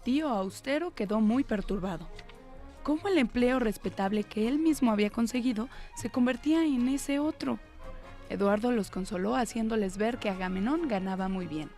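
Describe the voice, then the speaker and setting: A woman reading a story aloud in an expressive storytelling style. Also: on the high side, normal speed, soft background music.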